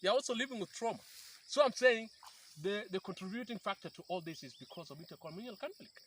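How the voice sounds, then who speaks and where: A man's voice: high-pitched, expressive, fast, fairly bright tone, slight background noise. A man in his thirties from South Sudan, explaining earnestly as a guest in an outdoor interview by a river, often restarting his sentences. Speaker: peace activist guest. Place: outdoors by a river in rural bushland.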